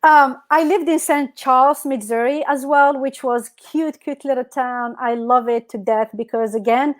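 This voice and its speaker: A woman's voice: high-pitched, steady tone, measured pace. Talkative woman from North Africa. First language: Berber. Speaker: guest. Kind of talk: casual interview over a video call.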